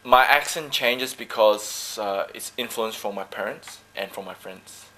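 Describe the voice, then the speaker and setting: A man from Malaysia who talks about his team sport in relaxed, joking interview answers. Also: lowish voice, measured pace, a little hiss or hum. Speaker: dragon boat paddler.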